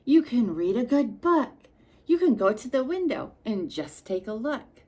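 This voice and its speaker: Female storytime reader for little kids. Unhurried delivery, lively swooping pitch, talks directly to the children. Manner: expressive, sing-song, addressing children directly. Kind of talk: read-aloud storytime for young children with chatty asides.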